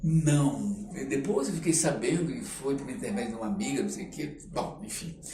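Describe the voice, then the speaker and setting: A man's voice: mid-range voice, lively intonation, a little fast, dark tone. An older man with a Brazilian accent tells anecdotes conversationally, acting out dialogue and checking in with short tag questions. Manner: acts out quoted dialogue, frequent tag questions. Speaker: podcast guest, theatre artist and writer. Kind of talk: animated anecdotal storytelling in casual conversation. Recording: roomy echo, distant mic, faint noise.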